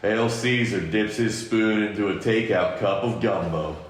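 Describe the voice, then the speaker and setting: Low-voiced man in a very echoey room. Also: unhurried. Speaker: podcast co-host reading a film script. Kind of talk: amateur table read of an action film script.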